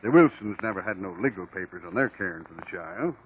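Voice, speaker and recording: An actor playing a plainspoken small-town American sheriff in a 1950s radio drama, with rustic, ungrammatical country talk. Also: male voice, low voice, lively intonation, quick pace, husky, phone-quality sound. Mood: grave, matter-of-fact. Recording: old band-limited radio recording.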